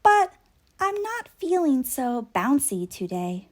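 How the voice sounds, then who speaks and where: A woman puts on a childlike boy bouncy-ball toy from a storybook, nervous and evasive. The voice is high, swooping up and down with hesitant, exclamatory protests. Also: sing-song, slow pace, exaggerated voice. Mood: glum.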